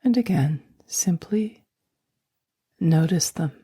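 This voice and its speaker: A woman guiding a sleep meditation, speaking slowly and softly, often in a near whisper. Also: on the low side, lively intonation, husky, no echo, studio quality. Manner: slow, soft and soothing, often whispered. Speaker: meditation guide.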